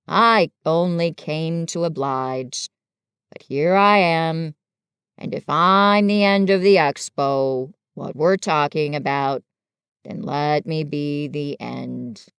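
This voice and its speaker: A woman's voice: low-pitched, fairly bright tone, clear, resonant tone, no echo, clean studio sound, voice pushed down, full character voice. A woman voicing a gloomy, put-upon old donkey in a children's story, grumbling in a dreary, resigned way. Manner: slow, dreary, resigned complaining. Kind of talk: children's storybook character reading.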